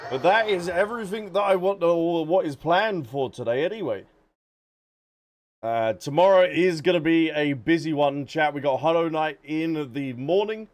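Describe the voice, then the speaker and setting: Chatty higher-voiced man livestreaming games. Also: moderate pace. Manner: chatty, addressing viewers directly. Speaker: game streamer. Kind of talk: casual livestream commentary. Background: game music.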